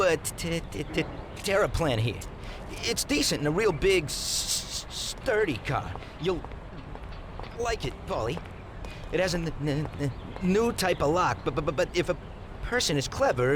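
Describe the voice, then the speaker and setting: Jumpy stammering garage mechanic in a game, high voice that bounces all over, street noise behind. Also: male voice, sing-song, normal speed. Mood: eager. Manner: heavy stutter, nervous repeats. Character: jittery stuttering male car mechanic. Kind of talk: video game cutscene dialogue. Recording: noisy game ambience.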